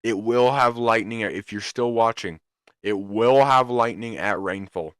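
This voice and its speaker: A slow-talking man with a lowish, hoarse voice, answering viewer questions live on a weather stream. He hesitates often and restarts his sentences. The sound is dry and close. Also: fairly bright tone, dry recording. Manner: hesitant, with many ums and restarts. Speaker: livestream weather host.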